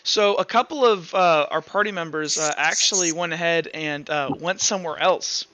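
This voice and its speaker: A man running a tabletop game as game master, narrating in a casual, halting, conversational way. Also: fairly high pitch, pushed and bright, slight background noise, low-quality recording.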